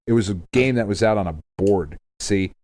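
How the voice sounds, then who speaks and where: Low-voiced American man, rambling casual podcast talk. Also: moderate pace, darkish tone. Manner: rambling, jokey, profane. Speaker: podcast co-host. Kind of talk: casual banter.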